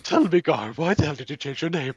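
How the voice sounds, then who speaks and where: Man playing a husky, deadpan documentary presenter. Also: fairly high pitch, fast, no room sound. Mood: mock-serious. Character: mock-serious male documentary presenter, absent-minded. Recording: dull, lo-fi voice recording.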